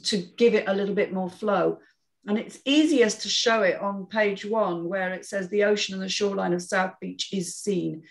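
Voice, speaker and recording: A woman leads a group discussion, explaining her critique in a measured way. Her voice sits at a middle pitch with noticeable creak. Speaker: script panel chair giving feedback.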